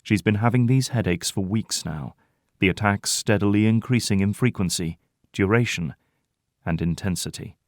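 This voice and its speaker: A man's voice: fairly low pitch, moderate pace, dry recording, clean studio sound. A man delivering dramatic scripted narration as the narrator of an audio drama.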